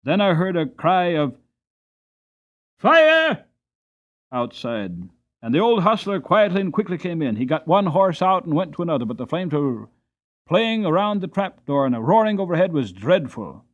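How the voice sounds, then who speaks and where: A man reading a classic novel aloud in a dramatic, expressive storybook style, in a slightly dull recording. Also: a little high, normal speed. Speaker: audiobook reader.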